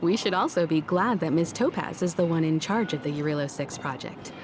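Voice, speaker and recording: An actress voicing a poised, kindly woman navigator in a video game, composed and gently amused. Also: low voice, fairly quick, background noise, natural voice. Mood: thoughtful. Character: poised, kindly adult female starship navigator.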